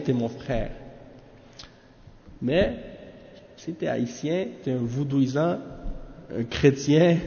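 A man's voice: mid-range voice, vocal fry, warm tone. Casual male teacher, echoey lo-fi room. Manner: casual, familiar talk with frequent "hein?" asides. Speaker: religious teacher. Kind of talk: lesson explaining a commentary. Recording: echoey room, dull lo-fi sound.

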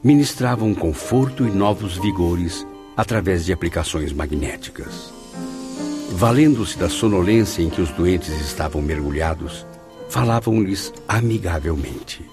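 An actor playing a dignified narrator of a spiritual memoir in a radio drama, formal and literary. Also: male voice, low voice, animated, measured pace, music in the background. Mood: grave, calm.

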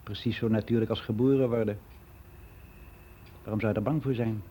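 A man's voice: on the low side, fairly even intonation, a little fast, dark tone, slight background noise, close to an everyday voice. An actor playing a candid, reflective older man, confiding matter-of-factly in a conversational radio drama monologue. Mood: sober, reflective. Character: candid, reflective ageing man, worldly and unbelieving.

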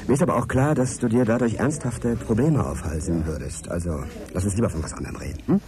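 An actor playing an inquisitive, courteous middle-aged journalist in a naturalistic radio drama, probing and earnest in conversation. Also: male voice, fairly low pitch, fast, natural voice. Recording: crowd chatter behind, café scene. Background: café crowd chatter.

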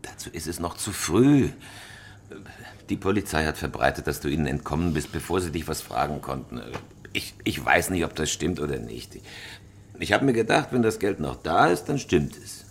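Wary professional thief: very low, terse, controlled, with widely moving intonation, some ambient noise. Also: male voice, very animated, moderate pace, slightly rough, heavily acted. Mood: wary, placating. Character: cool, calculating male professional robber, wary. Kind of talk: crime radio drama acting.

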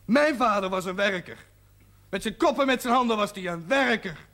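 A proud young working man in a television period drama. He is forthright, indignant and loyal, and his voice is strikingly high for a male. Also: animated, moderate pace, pushed and bright, heavily acted.

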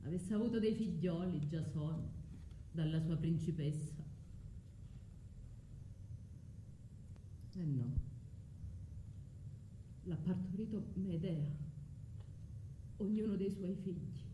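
A woman's voice: on the low side, slow pace, long pauses, mellow, slight background noise. An actress playing a scorned, vengeful sorceress mother, proud and anguished, declaiming in a theatrical radio drama. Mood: dark, grieving resolve. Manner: declamatory, swinging from brooding murmur to impassioned cries. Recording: roomy echo with music behind.

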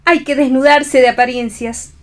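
A woman's voice: sits high, steady tone, normal speed, lightly acted. An actress playing a jealous, romantic woman in a theatrical radio play. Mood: wistful.

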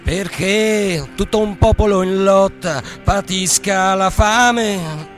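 High-voiced man slowly chanting a protest ballad. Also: a bit bright. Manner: declamatory, drawn-out rhythmic phrasing. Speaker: singer and stage performer. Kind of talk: protest ballad, sung and chanted in radio theatre. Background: music.

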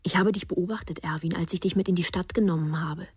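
An anxious, insistent mother in a radio drama. Her hushed voice is extremely breathy and husky, at an ordinary female pitch. The sound is close and dry. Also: steady tone, fast talker, dry recording. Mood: anxious coaxing. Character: anxious, insistent mother coaxing a silent child. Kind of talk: German radio drama acting.

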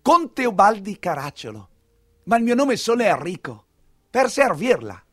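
An actor playing a courteous, dreamy young nobleman, speaking in an unhurried, declamatory way in a stage monologue. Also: high-pitched, big pitch swings, sharp tone, slightly rough, voice pushed up, full character voice. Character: young count, courteous, dreamy, eager aristocratic gentleman. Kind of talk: theatrical monologue character.

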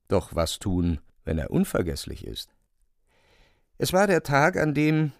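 Ein Schauspieler spricht in einem Hörspiel den leidenschaftlich beteiligten Autor-Erzähler, theatralisch, rhetorisch und mit dramatischen Pausen. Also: male voice, low voice, dry recording, clean studio sound. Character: male author-narrator, passionately involved storyteller. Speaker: narrator. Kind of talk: literary radio drama narration.

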